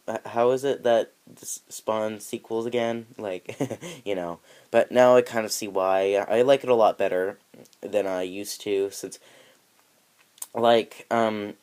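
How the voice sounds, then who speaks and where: A man with a fairly low voice talks through a video game review in a loose, rambling way. He hesitates and corrects himself often. His voice sounds slightly nasal from allergies, and he records on a handheld camera. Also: darkish tone. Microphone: handheld camera mic.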